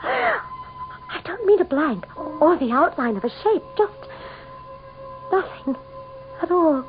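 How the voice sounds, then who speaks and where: High-voiced, frightened society wife, tinny radio. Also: female voice, huge pitch swings, takes it slowly, music in the background, full character voice. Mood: horrified. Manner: breathy gasps, stammering, melodramatic. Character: frightened, guilt-ridden society wife, shaken and haughty. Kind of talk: old-time radio mystery acting, narrating and in dialogue. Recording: tinny, noisy old radio drama recording.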